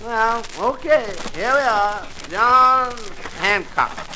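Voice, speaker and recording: A man's voice: very high voice, lively intonation, takes it slowly, exaggerated voice. A man playing a wry, skeptical tavern waiter in a 1940s radio comedy, delivering dry wisecracks in folksy grammar. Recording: faintly noisy 1940s radio recording.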